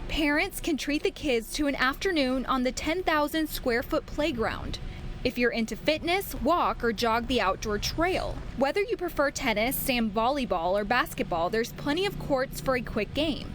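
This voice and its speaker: A woman reports local television news in a high voice. She reads scripted lines as a field reporter would, addressing viewers. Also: animated, normal speed, a bit bright, slight background noise. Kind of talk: scripted news package narration and live report.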